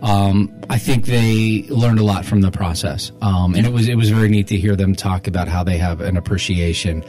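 A man's voice: low-pitched, steady tone, normal speed, warm tone. A middle-aged man co-hosting a podcast in casual chat, rambling and repeating words as he works through a thought. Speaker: podcast host and writer. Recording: music playing behind the voice. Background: music.

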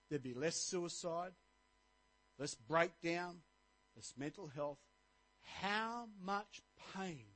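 A man's voice: high-pitched, a bit bright, no room sound, rough recording. A middle-aged man, preaching a sermon as pastor, rising to emphatic points. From Australia.

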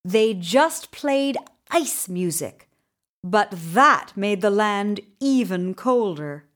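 A woman narrating a children's story in an expressive, deliberate way with dramatic emphasis. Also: medium pitch.

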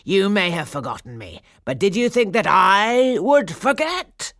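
Woman acting a slow, sly wicked old fairy. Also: mid-range voice, sing-song, a bit bright, no room sound, studio quality, pitched above the performer's own voice. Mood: gloating, triumphant.

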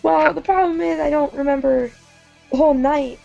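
Young woman guest host, gravelly fry in her voice, kinda hesitant. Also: high voice, fairly even intonation, moderate pace, mellow, highs cut off, soft background music. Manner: hesitant, laughs things off. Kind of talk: casual chat.

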